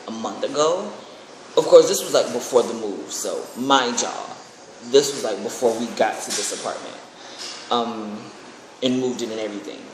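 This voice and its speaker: Young adult vlogger with a mid-range voice, casual and rambling, recorded in a very reverberant, noisy room. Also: mid-range voice. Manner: rambling, excitable. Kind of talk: casual chat to camera. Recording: echoey room with clear background noise.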